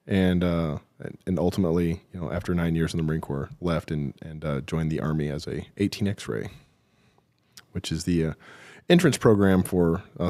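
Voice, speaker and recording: A middle-aged man with a low voice recounts his military career as an interview guest. He speaks with dry, self-deprecating humor and pauses often with fillers as he collects his thoughts. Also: darkish tone. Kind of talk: relaxed interview storytelling.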